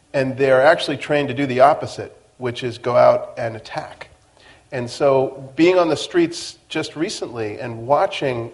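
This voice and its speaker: A man in his fifties, a veteran journalist on a panel, speaking deliberately and building his points slowly. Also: medium pitch. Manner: deliberate, slow to build, with restarts. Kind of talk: measured panel talk. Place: lecture hall on stage.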